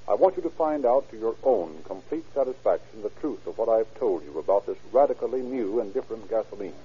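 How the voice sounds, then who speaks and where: A man's voice: on the low side, expressive, brisk, slightly rough. An American man narrating and reading sponsor messages as an announcer in 1930s radio style. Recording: muffled 1930s radio recording.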